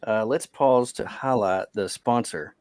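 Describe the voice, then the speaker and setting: Guy hosting a podcast, lowish voice, super dry sound, just chatting. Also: unhurried, darkish tone, dry recording. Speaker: podcast host. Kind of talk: casual conversational hosting.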